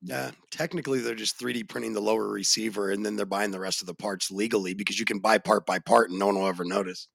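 An American man hosting a casual podcast chat, animated and slangy, with a deep voice that jumps in pitch for emphasis. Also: fast talker, creaky, hardly any pauses, no echo, studio quality. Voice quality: deep bassy voice. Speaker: podcast host.

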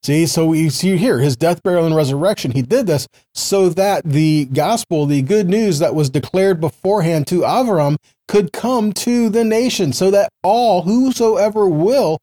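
Higher-pitched man teaching scripture, mellow tone. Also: expressive, moderate pace. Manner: didactic, asking rhetorical questions, seeking agreement. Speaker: Bible teacher. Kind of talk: informal scripture teaching, reading verses and explaining.

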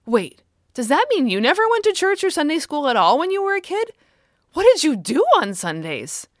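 A woman's voice: high voice, very animated, normal speed, no echo, studio quality, pitched above the performer's own voice. Woman doing a sassy, pert little girl. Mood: pert, curious. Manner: pert, insistent questioning. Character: sassy, demanding young girl.

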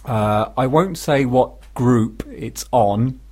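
Deadpan man chatting on radio. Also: mid-range voice, takes it slowly. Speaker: radio show co-host. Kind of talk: casual comic radio chat and anecdote.

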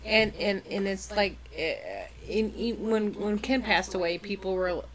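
A woman's voice: mid-range voice, expressive, measured pace, crowd noise, poor audio. A middle-aged woman co-hosting a podcast, speaking hesitantly with many fillers and short agreements. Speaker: podcast co-host. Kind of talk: casual conversation.

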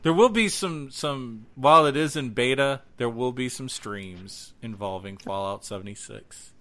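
A man's voice: mid-range voice, measured pace, fairly bright tone. A man co-hosting a podcast, making casual announcements with jokey, playful asides. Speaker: podcast co-host.

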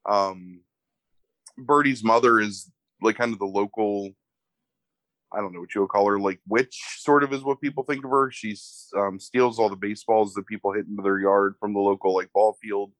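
A young man, congested, describing a movie in a casual, halting way full of fillers. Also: lowish voice, steady tone, fairly bright tone, no echo, poor audio. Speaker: movie podcast co-host. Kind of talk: casual film review chat.

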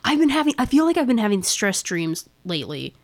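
A woman's voice: medium pitch, sing-song, fairly quick, no room sound. A woman podcast co-host with a slightly nasal voice, chatting in a rambling, laughing, flustered way. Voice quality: a little nasal. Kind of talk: casual chat.

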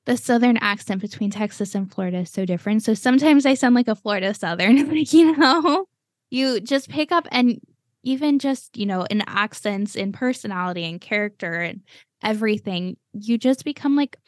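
A woman's voice: fairly high pitch, normal speed, no echo. Young american woman hosting a podcast, rambly and chatty, lots of "you know", dry mic. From United States. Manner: chatty, many fillers and laughs. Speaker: podcast host. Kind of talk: casual chat.

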